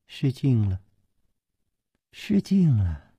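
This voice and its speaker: A man voicing a menacing martial artist with a smiling face who speaks slowly and mockingly. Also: mid-range voice, big pitch swings, mellow, slightly rough, no echo, heavily acted. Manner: slow, mocking.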